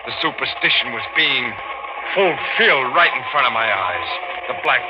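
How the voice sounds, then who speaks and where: A man's voice: mid-range voice, lively intonation, moderate pace, natural voice. Man acting a frantic haunted sailor, old radio thriller. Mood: brooding narration. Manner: agitated, melodramatic, insistent. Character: frantic, guilt-haunted murderous sailor convinced a dead woman returned. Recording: noisy, dull 1940s radio recording.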